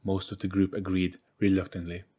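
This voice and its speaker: A man's voice: deep voice, completely flat delivery, brisk, warm tone, slightly rough. A man reading scripted narration for a storybook-style audio play.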